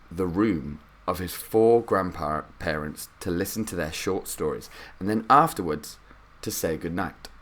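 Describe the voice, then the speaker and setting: Male read-aloud storyteller, teacherly, lower register, slight head cold, very varied intonation. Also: fairly bright tone. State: has a cold.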